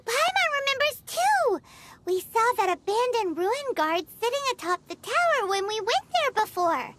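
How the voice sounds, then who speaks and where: An actress voicing a tiny, excitable female companion who talks about herself in the third person, in a video game cutscene. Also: a little hiss or hum, exaggerated voice. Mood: eager. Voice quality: high squeaky childlike voice. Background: game music.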